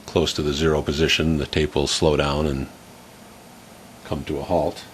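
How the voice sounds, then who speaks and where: A middle-aged man casually demonstrating a tape deck at home, explaining in a relaxed way with plenty of fillers. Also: a bass voice, moderate pace, slight background noise.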